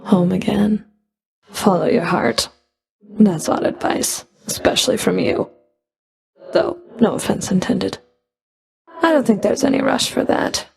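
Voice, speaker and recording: Stern female underworld Fury for a game: heavy creak, proud and disdainful, tightly controlled. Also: mid-range voice, lively intonation, unhurried, long pauses, rough recording. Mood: curt, dry. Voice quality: gravelly, creaky. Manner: cool, clipped, controlled, disdainful. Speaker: video game voice actor. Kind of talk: scripted video game character dialogue.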